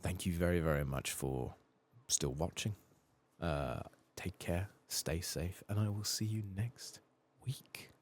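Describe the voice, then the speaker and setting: A man with an extremely low, crackly voice gives soft-spoken commentary on a game. He mutters playfully, swears casually and pokes fun at himself as he goes. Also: slow. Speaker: host of a gaming playthrough channel.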